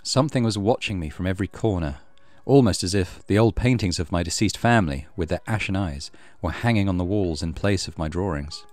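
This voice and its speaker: A man reading a horror visual novel aloud as dramatic, measured narration. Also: deep voice, darkish tone, dry recording. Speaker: gaming channel host reading visual novel. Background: music.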